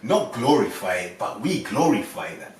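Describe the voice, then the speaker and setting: A man talking earnestly in a casual podcast discussion, often adding "you see" and growing loud when challenged. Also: on the low side, sing-song, moderate pace. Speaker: podcast panelist. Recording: roomy, distant mic, faint noise.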